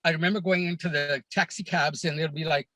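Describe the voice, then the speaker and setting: A man from Kenya with a fairly high voice tells his stories as a podcast guest. He is earnest and measured and leans on small fillers as he thinks. The sound is close and dry, with no room around it. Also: steady tone, pushed and bright, no room sound. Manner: earnest, measured, with frequent "uh" and "you know" fillers. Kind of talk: reflective storytelling in a conversation.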